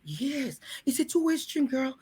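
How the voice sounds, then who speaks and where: A woman's voice: sits high, very animated, measured pace, darkish tone. A woman from Cameroon hosting a frank talk to women in a room, earnest and coaxing, often turning to the ladies in her audience. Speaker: host.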